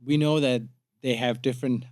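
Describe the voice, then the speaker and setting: A man's voice: mid-range voice, fairly even intonation, darkish tone, no echo. Danish-accented man, dry close sound. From Denmark. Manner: informal, halting with repeated words. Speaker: podcast guest, consultant. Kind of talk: casual conversational interview.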